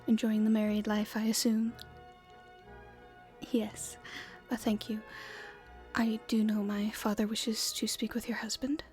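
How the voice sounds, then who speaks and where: Female performer as proper but secretly rebellious princess, ASMR roleplay. Breathy, hushed, unhurried, hesitant courtly manners over faint music. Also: a little high. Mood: polite, reserved. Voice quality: soft, breathy, often whispered. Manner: formal, polite, hesitant. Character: proper young princess at her debut, stifled, secretly rebellious.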